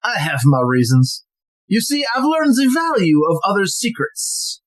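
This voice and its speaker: An actor playing a cunning, smug blackmailer in an audio play, insinuating and coolly threatening. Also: male voice, sits high, huge pitch swings, a little slow, exaggerated voice. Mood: smug, accusing. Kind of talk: stage-like audio drama acting.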